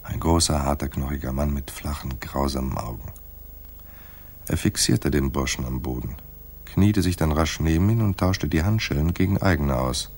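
An actor playing a wry, tough private detective in a hard-boiled radio play, husky and laconic, in dialogue and narration. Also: male voice, very deep voice, moderate pace, a little mellow, exaggerated voice. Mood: terse, tense. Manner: dry, understated.

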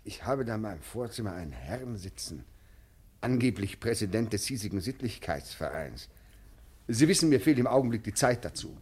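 Lofty, condescending police chief, animated voice. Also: male voice, fairly low pitch, normal speed, breathy edge. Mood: condescending, evasive. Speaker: actor. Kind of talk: German radio play acting, satirical comedy.

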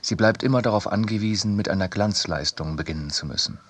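Deep, husky man reading in a dry studio. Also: quick pace. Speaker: second reader of a literary essay. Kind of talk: scripted narration in a radio production.

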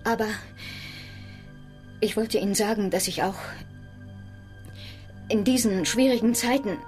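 Actress playing resolute sailor woman, earnest, measured pauses, mid-range voice, audio drama, music behind. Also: medium pitch, darkish tone, natural voice. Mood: resolute, grave. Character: determined, proud woman sailor, recovering from injury. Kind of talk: German radio drama acting. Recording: studio radio drama with music underneath.

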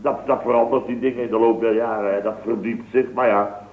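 A man's voice: fairly low pitch, fairly even intonation, fairly quick, lightly acted. A man playing a boastful, rambling contractor in a 1960s radio comedy. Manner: rambling, boastful, full of tag words. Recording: tinny, echoey old radio broadcast.

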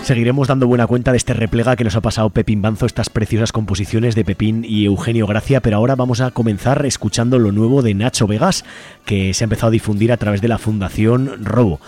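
A man's voice: fairly low pitch, fairly even intonation, quick pace, darkish tone. A man with a Spanish accent from Spain, presenting a community radio programme in a partly improvised way. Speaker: radio host. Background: music bed.

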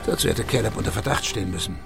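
A gruff police sergeant in a radio crime drama. The voice is extremely gravelly at a middling pitch, blunt and businesslike, with music underneath. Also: male voice, expressive, racing. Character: gruff, direct male police sergeant investigating a case.

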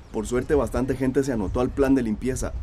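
Coughing, courtly Central American villager played by an actor. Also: male voice, mid-range voice, fairly even intonation, normal speed, dark tone, a little hiss or hum, close to an everyday voice. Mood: cheerful, weary. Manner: courtly, folksy. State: coughing, chesty. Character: Pedro, courtly hardworking villager with a nagging cough. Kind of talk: radio drama acting.

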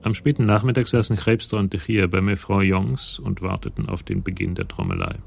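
A man narrating a scripted crime story in a radio drama, with a gravelly voice. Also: low-pitched, animated, brisk, slight background noise.

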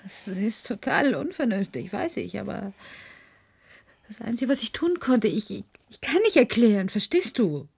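Husky, brittle woman on the edge. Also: mid-range voice, very animated, darkish tone, full character voice. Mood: fragile, desperate. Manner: halting, guarded. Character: brittle, secretive, grieving woman programmer, obsessed and desperate. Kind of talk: radio play acting.

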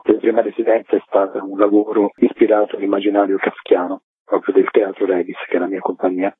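A man's voice: on the low side, husky, no room sound. A man speaking conversationally as an artistic director being interviewed on the radio. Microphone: phone call to a radio show. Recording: dry, narrow telephone line.

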